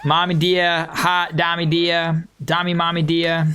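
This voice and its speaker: A man's voice: a little high, monotone, measured pace, sharp tone, clean tone. A man doing casual live gameplay commentary, joking and bantering with the game and his chat. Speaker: game streamer.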